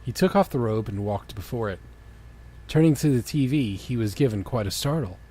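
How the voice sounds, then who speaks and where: A man's voice: mid-range voice, expressive, a little fast, dark tone, husky. A man co-hosting a comedy podcast, reading a story aloud and breaking off for jokey, casual comments. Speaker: podcast co-host reading fan fiction aloud. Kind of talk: comic dramatic reading with casual banter.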